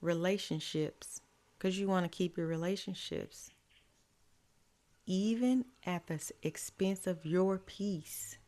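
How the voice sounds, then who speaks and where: Slow-talking raspy woman coach. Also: lowish voice, dark tone. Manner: slow, repeats key lines, affirming "mm-hmm" asides. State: voice a bit hoarse. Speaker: life coach. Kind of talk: encouraging faith-based coaching talk.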